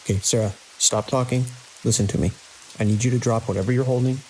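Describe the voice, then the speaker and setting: An actor playing a grim, commanding security chief in a horror audio drama, giving terse, urgent orders. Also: male voice, mid-range voice, steady tone, fast, a little mellow, lightly acted, rough recording. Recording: constant hiss and rain-like noise.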